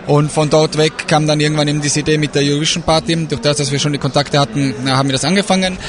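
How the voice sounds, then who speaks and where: A man from Austria talks up his events in a keen, filler-heavy interview at a trade fair. His voice sits a touch high. A crowd murmurs loudly behind him. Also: little pitch movement. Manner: eager, fast talk with many fillers. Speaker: event organizer.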